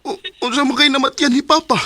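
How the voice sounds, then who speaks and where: An actor playing a dutiful young farm son in a Bisaya radio drama, earnest and deferential, with a Cebuano Filipino accent. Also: male voice, very high-pitched, fairly even intonation, quick pace, sharp tone, no room sound. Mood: grieving, upset. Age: young adult. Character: dutiful young son from a poor farming family.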